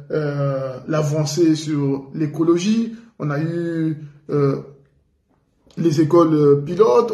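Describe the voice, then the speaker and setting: A man's voice: fairly high pitch, mellow. Indignant Congolese man, echoey room. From Republic of the Congo. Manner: indignant, emphatic, repeats for stress. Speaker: radio commentator. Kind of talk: political commentary monologue. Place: living in France.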